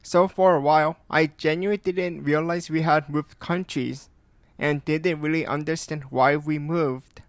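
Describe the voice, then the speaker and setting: A man from South Korea with a light Korean accent, narrating a personal story in a calm, earnest, conversational way. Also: a little high, measured pace, no echo. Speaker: animation storytime creator.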